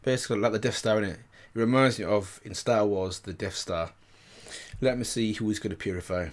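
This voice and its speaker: A man's voice: lowish voice, fairly quick, very noisy. A man hosting a reaction video, commenting casually and thinking aloud, often repeating his phrases. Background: faint game music.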